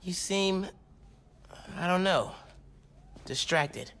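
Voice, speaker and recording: A nice awkward teen hero in a video game, voice jumps around a lot, kinda high, stumbles on words. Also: male voice, expressive, drawn-out words, a bit bright, exaggerated voice. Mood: awkward, gentle. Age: young adult. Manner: hesitant, stammering when flustered. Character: friendly teenage boy superhero, earnest and a little awkward. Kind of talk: naturalistic video game acting.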